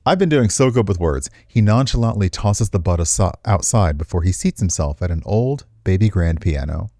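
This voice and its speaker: A man's voice: low-pitched, expressive, moderate pace, dark tone, no echo, studio quality. A man reading visual novel text aloud expressively as a game streamer, slipping into casual commentary.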